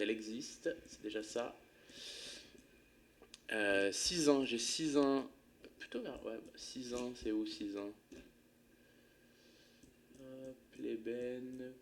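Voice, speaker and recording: A man's voice: medium pitch, slight background noise. A man commentating his own play of a geography game live, thinking aloud in a casual, muttering way with some swearing.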